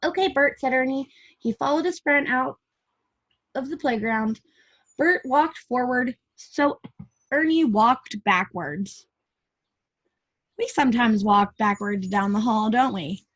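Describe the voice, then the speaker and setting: Female storyteller for preschoolers, higher-pitched, chats directly to little listeners; dull home recording. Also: slow pace, pauses often, a bit bright. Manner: addresses young listeners with questions and asides. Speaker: preschool teacher reading aloud. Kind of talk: read-aloud storytelling for young children with chatty asides.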